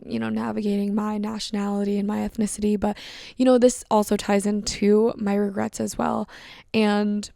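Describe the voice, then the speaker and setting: Young woman podcaster, lots of vocal fry, chatty and reflective, super dry close sound. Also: fairly high pitch, a little mellow, dry recording. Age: young adult. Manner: candid, reflective, with frequent fillers and restarts. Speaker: podcast host. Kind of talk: casual solo podcast monologue.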